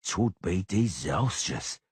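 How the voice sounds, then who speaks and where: A low, drawling male killer who hisses his threats very slowly, as a man reading the story voices him. The tone is husky and close, with no room around it. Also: expressive, no room sound, heavily acted. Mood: menacing drawl. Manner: drawling, hissing.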